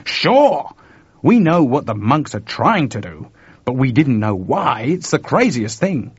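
Narrator guy doing a worried excitable engineer, husky, pitched up, talks urgent, muffled audiobook sound. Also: a little slow, dry recording, full character voice. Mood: urgent, excited. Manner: urgent, animated, colloquial. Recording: dull lo-fi dry recording.

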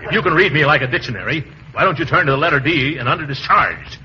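An actor playing a pompous, easily riled middle-aged uncle in a blustering, theatrical 1940s radio comedy style. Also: male voice, a little high, lively intonation, fast, pushed and bright. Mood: indignant bluster. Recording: muffled, hissy old radio recording.

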